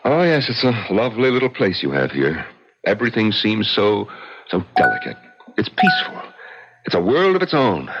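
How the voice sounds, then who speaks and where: A low, husky male voice playing a wronged, hot-tempered man in a radio drama. He blusters and halts, his pitch leaping about dramatically. The sound is that of a dull old radio recording. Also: very animated, heavy background noise. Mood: indignant, sarcastic. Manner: blustery, halting, emphatic delivery. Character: angry, wronged man, softening into bewildered sincerity. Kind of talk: old-time radio mystery acting.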